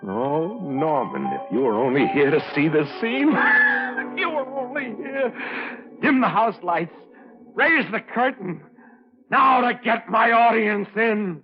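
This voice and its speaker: A man's voice: high-pitched, lively intonation, measured pace, over music. An actor playing an unhinged middle-aged man acting out a guilt-crazed murderer, declaiming feverishly and repetitively in old radio thriller style. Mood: manic, exultant. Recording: muffled old radio broadcast recording.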